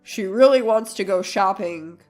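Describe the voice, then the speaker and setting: A woman's voice: medium pitch, measured pace, darkish tone, soft background music, natural voice. A woman voicing a selfish, insistent husband in his thirties, curt and dismissive, in a narrated story. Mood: dismissive, pleading. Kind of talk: acted dialogue in a narrated story.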